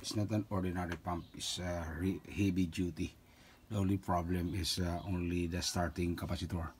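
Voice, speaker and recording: A handyman explaining a repair step by step in halting speech with many fillers, working outdoors on a patio with a phone camera. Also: low voice, flat delivery, a little mellow, slight background noise. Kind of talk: hands-on repair demonstration.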